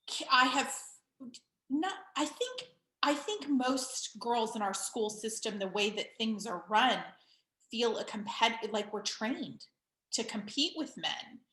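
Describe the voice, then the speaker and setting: A woman hosting a casual interview, calmly building on her guest's points. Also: on the high side. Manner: unhurried, thoughtful, expands on the guest's points. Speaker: host. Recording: roomy echo.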